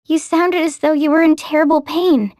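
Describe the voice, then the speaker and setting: A woman playing a spirited, caring teenage warrior girl in a video game, outspoken and expressive. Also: high-pitched, moderate pace, dry recording, studio quality, lightly acted. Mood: concerned.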